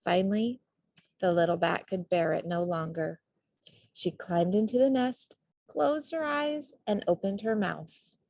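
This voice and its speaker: A woman reading a children's storybook aloud as an expressive narrator. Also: mid-range voice, unhurried, gravelly, no echo. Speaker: storybook reader.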